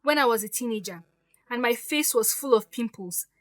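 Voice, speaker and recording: A woman's voice: on the high side, animated, moderate pace, no echo, natural voice. A woman playing a defiant, hurt stepdaughter in an audio drama, speaking emphatically and with grievance. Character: defiant, wounded grown stepdaughter standing up for herself.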